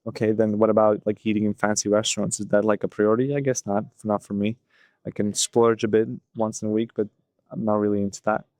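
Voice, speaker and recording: Flat-toned low young man musing aloud. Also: fast, no echo. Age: 20s. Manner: thinking aloud, loose and unscripted, full of "like" and "you know". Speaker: vlogger. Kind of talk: reflective solo monologue.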